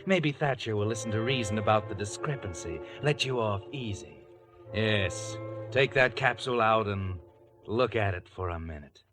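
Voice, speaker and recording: An actor playing a sly, taunting narrator who speaks like a guilty conscience in a 1940s radio thriller. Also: male voice, on the low side, moderate pace, lightly acted. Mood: taunting, ominous. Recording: vintage radio broadcast. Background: dramatic music.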